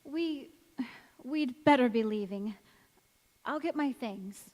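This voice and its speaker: Lady acting a polite nervy wife in an old radio drama, slow talker, big echoey hall. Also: high-pitched, a little mellow. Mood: uneasy, wistful. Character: polite, anxious, youthful-looking married woman. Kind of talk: radio play acting on stage. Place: large hall, live stage performance. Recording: echoey hall with long reverb.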